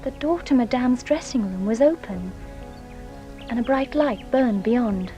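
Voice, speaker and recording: A woman's voice: on the high side, lively intonation, a little slow, dark tone, lo-fi sound, faint music behind. An actress playing an anxious, guilt-ridden young Englishwoman giving testimony in a radio drama, with a hesitant, dramatic manner. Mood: uneasy recollection.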